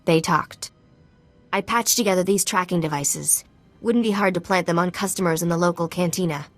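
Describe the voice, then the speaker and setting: An actress playing an earnest, resourceful informant in a video game, speaking in short, clipped sentences. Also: female voice, on the low side, normal speed, soft background music, lightly acted. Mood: earnest, urgent.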